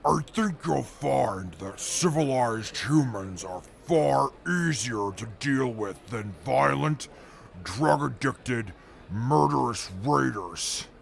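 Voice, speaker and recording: A haughty super mutant for an audio drama, with a heavily gravelled voice. He speaks slowly and formally, like a bookish creature sure that he is above everyone around him. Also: medium pitch, animated. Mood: condescending. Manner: pompous, formal diction.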